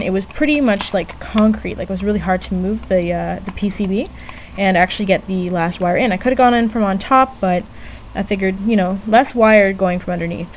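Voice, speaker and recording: A woman's voice: mid-range voice, brisk. A woman giving a casual, unscripted hobby demonstration, chatty and hesitant, often correcting herself as she goes. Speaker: DIY electronics hobbyist presenter. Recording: tinny lo-fi webcam-era audio, background noise.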